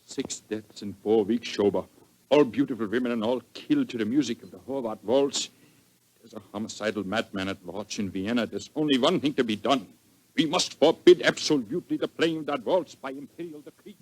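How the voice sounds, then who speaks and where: An actor playing a proud, passionate Viennese count, formal and melodramatic in 1940s radio style. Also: male voice, mid-range voice, brisk, darkish tone, natural voice, low-quality recording. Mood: grave.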